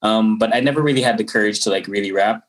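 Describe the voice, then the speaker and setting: Low-voiced Filipino man in his twenties. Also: fast, far from the mic. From Philippines. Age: 20s. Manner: rambling, many fillers and restarts. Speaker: rapper guest. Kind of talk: casual interview.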